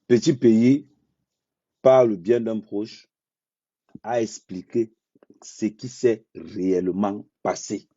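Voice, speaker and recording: A man speaking bluntly in an unscripted live monologue, repeating phrases for emphasis and talking slowly and deliberately. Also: fairly low pitch, steady tone, mellow, husky, dry recording. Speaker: social media commentator. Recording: dull lo-fi dry sound.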